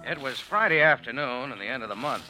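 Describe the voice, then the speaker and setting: An actor playing a sardonic American cafe owner, narrating in slangy, dry style for a vintage radio drama. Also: male voice, mid-range voice, expressive, quick pace, bright, forceful tone, soft background music, poor audio. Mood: wry, unruffled. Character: wry, hard-boiled American male cafe owner. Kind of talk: 1940s radio acting, first-person narration.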